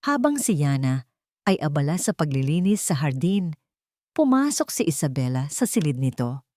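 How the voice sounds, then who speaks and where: A woman narrating a scripted romance story dramatically, in suspenseful, short emphatic phrases with pauses. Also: low-pitched, sing-song, mellow, dry recording, studio quality.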